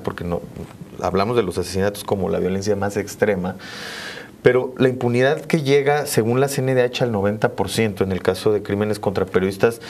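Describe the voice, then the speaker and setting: A man's voice: lowish voice, darkish tone, slight background noise. A man with a Mexican accent hosting a conversational panel interview in a studio, repeating words as he forms his questions. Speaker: host.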